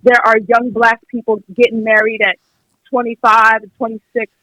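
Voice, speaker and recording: A woman's voice: a little high, flat delivery, normal speed, pushed and bright, no room sound, rough recording. A young American woman calling in to a podcast, arguing her opinion conversationally and emphatically, often acting out what others would say. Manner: emphatic, acts out imagined quotes. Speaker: caller on a podcast.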